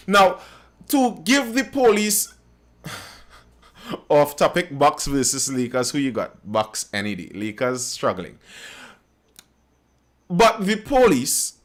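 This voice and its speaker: A Trinidadian man hosting a live stream, commenting on news and reading viewer comments, often repeating phrases as he thinks aloud. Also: fairly high pitch. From Trinidad and Tobago.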